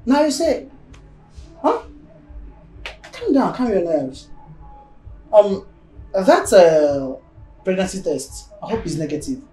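An actor with a Nigerian accent playing a self-assured husband in a film drama, soothing and insistent. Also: male voice, sits high, sing-song, takes it slowly, long pauses, mellow, distant mic, exaggerated voice. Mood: animated relief. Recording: echoey room, faint music behind.

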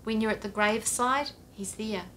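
A woman's voice: on the high side, moderate pace, fairly bright tone, slight background noise. A middle-aged woman pastor giving an earnest, direct sermon to camera from her living room, gesturing as she speaks. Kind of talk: home church sermon to camera. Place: living room, seated on a sofa. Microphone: camera at a few feet, head and shoulders framing.

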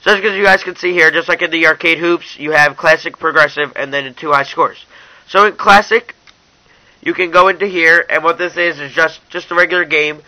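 Young guy doing a casual app walkthrough, really bright sharp voice, kinda high for a guy. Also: fairly even intonation, a little fast, no echo, low-quality recording. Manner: informal, addresses viewers as you guys. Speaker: app reviewer. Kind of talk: casual hands-on app review narration.

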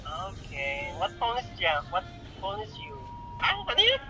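A woman's voice: mid-range voice, huge pitch swings, a little slow, over music. A woman with a Filipino accent vlogging in a supermarket, teasing someone in a playful, casual way. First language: Tagalog. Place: large supermarket aisle.

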